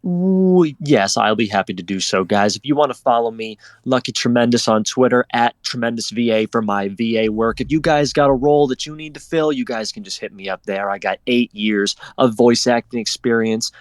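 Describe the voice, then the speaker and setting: A man's voice: mid-range voice, moderate pace, few pauses, no room sound. Effusive man chatting on a panel, dry sound. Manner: effusive, encouraging, addresses listeners directly. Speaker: panel guest, voice actor. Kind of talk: casual panel chat.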